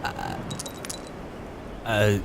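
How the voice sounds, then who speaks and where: Low-pitched man muttering game roleplay. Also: wildly swooping pitch, very slow, vocal fry, noisy background. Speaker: gamer playing a character. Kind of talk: casual in-character roleplay commentary over gameplay.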